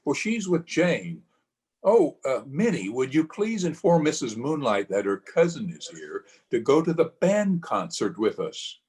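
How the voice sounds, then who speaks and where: A man's voice: mid-range voice, sing-song, a little slow, a little mellow. A man playing an exasperated but fond husband in old-time radio drama, with a testy, theatrical delivery. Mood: polite, protective. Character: exasperated, fond husband of nine years, sparring with the maid. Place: at home, recorded over video call.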